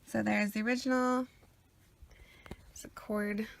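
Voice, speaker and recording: A woman's voice: on the high side, little pitch movement, a little slow, vocal fry, slight background noise. A sniffly woman rambling casually with lots of ums and uhs as she shows her sketchbook. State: sniffly after a cold. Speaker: artist showing her sketchbook.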